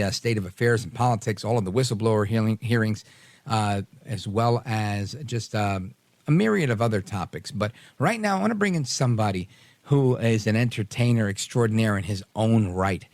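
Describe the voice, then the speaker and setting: A man's voice: lowish voice, a little fast, darkish tone, dry recording. A man hosting a late night talk radio show, greeting listeners with energy and leaning on fillers as he talks. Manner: upbeat, hypes the audience, many fillers.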